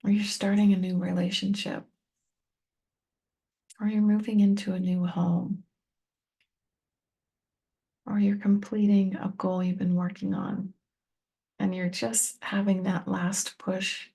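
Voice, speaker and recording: A woman leads a guided meditation in a soft-edged, dark voice with plenty of fry. Her pitch stays fairly level as she gives gentle, unhurried instructions straight to the listener, leaving space between short phrases. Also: flat delivery. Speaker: meditation teacher and tapping coach.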